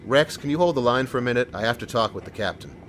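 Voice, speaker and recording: A man playing a calm, sincere and determined police sergeant in an audio drama. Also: medium pitch, fast talker, a little hiss or hum, lightly acted. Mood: pleading, determined.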